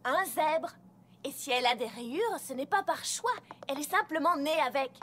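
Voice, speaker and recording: A woman's voice: sits high, lively intonation, moderate pace, very noisy. A woman playing a level-headed, bookish young female pony who reasons in an articulate, skeptical way in a cartoon dub. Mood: explaining. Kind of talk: animated cartoon dialogue dub.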